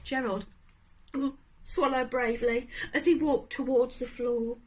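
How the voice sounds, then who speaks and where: A woman reading a children's story aloud in an animated, deliberate manner, addressing young listeners. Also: high voice, rough recording. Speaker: storybook reader.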